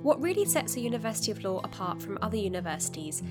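Female announcer over loud guitar music. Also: medium pitch, sing-song, very fast. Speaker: sponsor message announcer. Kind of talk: scripted advertisement narration.

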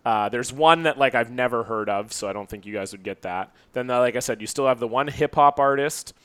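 A man's voice: medium pitch, a little fast, fairly bright tone, clean tone, no room sound. A man chatting casually and swearing freely as he hosts a podcast guessing game. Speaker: podcast host and quiz master.

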